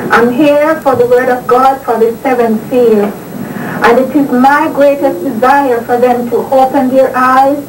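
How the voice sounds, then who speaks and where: A woman from Jamaica, giving calm, sincere, deliberate testimony in a recorded interview. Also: high voice. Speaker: interviewee, church member. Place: indoors in a residential compound. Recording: roomy, distant, noisy archival video.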